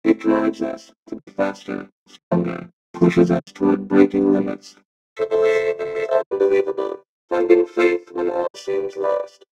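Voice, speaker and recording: A man's voice: a little slow, loud music behind the voice, low-quality recording. A man reading a dramatic motivational voice-over through a robotic synth vocoder. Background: synthesizer tones.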